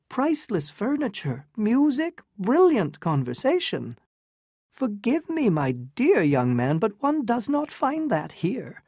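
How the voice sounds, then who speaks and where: A narrator voicing a theatrical older woman who gushes and schemes, in a high, airy, affected delivery. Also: a little mellow, slightly rough, no echo, studio quality, full character voice.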